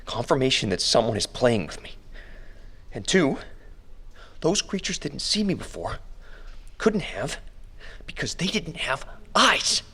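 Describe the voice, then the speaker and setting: A frightened captive talking into a recorder in an audio drama. His voice is breathy and husky and rambles desperately. The pitch moves a great deal, with a wry edge underneath. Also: big pitch swings, a little slow, long pauses, bright, forceful tone, exaggerated voice. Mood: shaken, uneasy. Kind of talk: audio drama monologue, much of it hushed.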